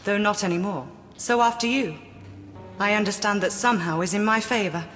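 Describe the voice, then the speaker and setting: A woman's voice: medium pitch, a little fast, fairly bright tone, big-room sound, soft background music, lightly acted. An actress playing a cool, wry British woman adventurer in a video game, speaking with dry poise. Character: cool, wry, self-possessed female adventurer. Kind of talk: video game cutscene acting.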